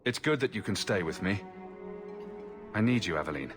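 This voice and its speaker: A man's voice: fairly low pitch, animated, moderate pace, a bit bright, faint music behind. A man playing a composed, loyal adventurer hero in a fantasy game, speaking sincerely and plainly. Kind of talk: dramatic game cutscene acting.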